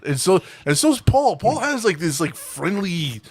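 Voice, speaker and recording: A man's voice: high-pitched, sing-song, a little slow, slightly rough, dry recording. A man hosting a casual group podcast over a video call, rambling with restarts and swearing freely. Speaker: podcast host.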